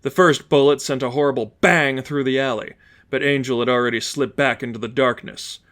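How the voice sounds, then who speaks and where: A man's voice: medium pitch, steady tone, brisk, fairly bright tone. Casting: male storyteller, middle-register voice, reading prose fiction from a script. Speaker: fan fiction narrator. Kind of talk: scripted audiobook narration.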